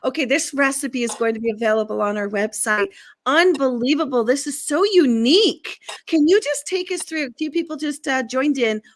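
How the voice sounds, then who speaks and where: A woman's voice: sits high, normal speed. A woman hosting a live show, upbeat and enthusiastic as she asks her guest questions. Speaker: show host.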